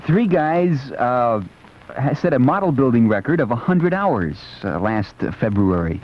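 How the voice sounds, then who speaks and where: A man's voice: mid-range voice, very animated, unhurried. An American man hosting a late-night 1970s music radio show, chatting in a casual, playful, rambling way. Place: radio studio. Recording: dull, noisy 1970s AM radio aircheck.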